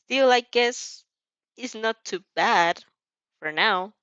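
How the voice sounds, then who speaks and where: A woman's voice: fairly high pitch, slow, a bit bright, smooth, no echo. Girl reading as huffy young woman, kinda grudging, fan story dub, slightly muffled audio.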